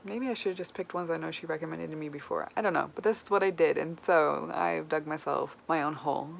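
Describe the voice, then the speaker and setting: A woman's voice: sits low, steady tone, quick pace. A woman chatting casually as a book vlogger, with an informal, wryly self-deprecating manner. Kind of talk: casual chat to camera. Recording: clear background noise.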